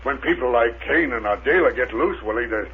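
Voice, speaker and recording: An actor playing a dim, boastful rocket pilot sidekick in a 1930s radio serial, stammering and folksy. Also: male voice, mid-range voice, expressive, a little fast, close to an everyday voice. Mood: earnest, self-important. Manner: bumbling, stammering, folksy drawl of bad grammar. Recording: noisy, tinny 1930s radio broadcast recording.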